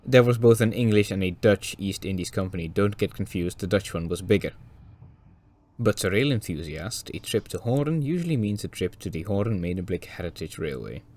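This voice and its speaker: A man with a slightly hoarse voice from a cold, narrating a scripted railway documentary with dry, wry asides. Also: deep voice, animated, normal speed, a little mellow. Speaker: railway video presenter.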